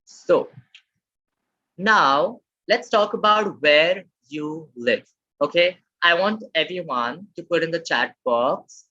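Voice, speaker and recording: Woman, husky voice, language teacher on a webinar, muffled lo-fi audio. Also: low-pitched, animated, bright, forceful tone. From Maharashtra, India. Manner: repeats key phrases slowly, keeps checking in with the chat. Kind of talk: live online lesson.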